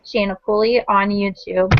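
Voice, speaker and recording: A woman in her twenties hosting a casual group chat, explaining things in a measured way with small restarts. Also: mid-range voice, steady tone, a little hiss or hum. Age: 20s. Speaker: host of a panel discussion. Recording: dull, dry lo-fi sound.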